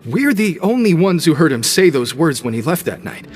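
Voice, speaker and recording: Reverberant, higher-voiced prison tough. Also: male voice, sing-song, moderate pace. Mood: wary. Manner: street-tough, blunt. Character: tough young male prison gang member, loyal, confrontational. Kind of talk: video game voice acting. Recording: long reverb, music behind.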